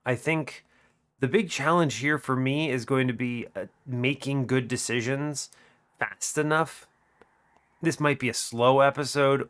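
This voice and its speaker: A man's voice: medium pitch, a bit bright. Sick-sounding man with muted, nasal voice. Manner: rambling, self-correcting. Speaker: gaming channel host. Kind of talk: game commentary. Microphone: desk microphone.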